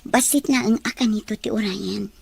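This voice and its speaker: A woman playing a gentle, hesitant young sweetheart being courted in a radio drama. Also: mid-range voice, normal speed, creaky, slight background noise. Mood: hesitant tender. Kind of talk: radio drama acting in Ilocano romance.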